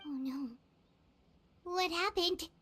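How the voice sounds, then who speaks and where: A woman voicing a small, childlike, curious companion creature in a cartoonish video game, earnest and expressive. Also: extremely high pitch, slow, slight background noise, full character voice. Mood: concerned. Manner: inquisitive, expressive.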